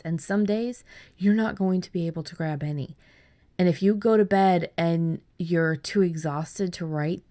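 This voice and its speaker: A woman hosting a podcast in loose, casual conversation. Her voice sits very low for a woman, and she talks bluntly, cursing freely and cracking jokes. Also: darkish tone. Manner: blunt, swears freely, jokey. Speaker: podcast host.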